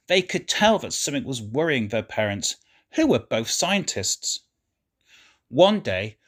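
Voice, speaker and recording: A man reading a children's story aloud in an expressive, deliberate way, as scripted narration. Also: on the high side.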